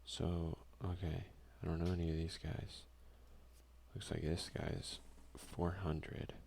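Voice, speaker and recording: Low, gravelly ASMR gamer guy. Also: level tone, slow pace, a little mellow, a little hiss or hum. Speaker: let's play streamer. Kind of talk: ASMR game playthrough, reading game text aloud with casual asides.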